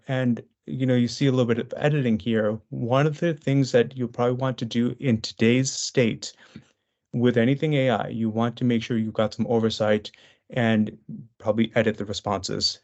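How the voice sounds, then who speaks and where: A man's voice: medium pitch, darkish tone, muffled recording. A man presenting a business webinar over a video call. He talks conversationally through his slides, with frequent fillers and restarts.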